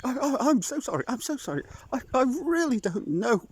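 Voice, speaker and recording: A man's voice: extremely high pitch, very animated, a little fast, slight background noise, pitched above the performer's own voice. A man voicing a frightened male fox who stammers apologies. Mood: apologetic. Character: cowardly male fox, sheepish bully caught out.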